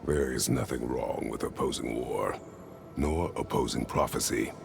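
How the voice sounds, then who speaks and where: Stern warrior god, extremely deep, gravelly, terse and weighty, commanding, video game, loud music. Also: male voice, a little slow, noisy background, heavily acted. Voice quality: gravelly, rumbling.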